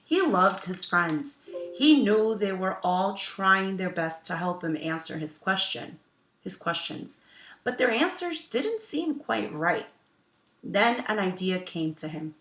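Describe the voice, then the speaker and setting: A woman reading a storybook aloud as a teacher, in a deliberate, instructive way. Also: mid-range voice, expressive, fairly bright tone. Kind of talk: read-aloud storybook narration with teaching asides. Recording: roomy, echoing sound.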